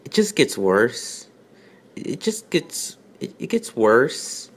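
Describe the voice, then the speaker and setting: A man's voice: mid-range voice, big pitch swings, slow, husky. A man hosting a reading show with sarcastic, rambling commentary in an exasperated, self-deprecating manner. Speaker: host of a bad fan fiction reading show.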